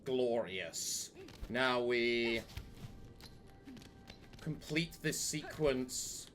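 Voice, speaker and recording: A man doing gaming commentary with a voice strained from yelling. He swears and rants at the game in exasperation. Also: mid-range voice, lively intonation, very slow, faint music behind. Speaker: let's play host.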